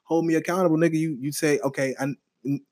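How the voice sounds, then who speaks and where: Young male podcast co-host, casual and profane, lively talk on a dead-dry close mic. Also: a little high, fast talker, no echo. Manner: animated, swearing freely, restarts and rambles. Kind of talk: casual chat.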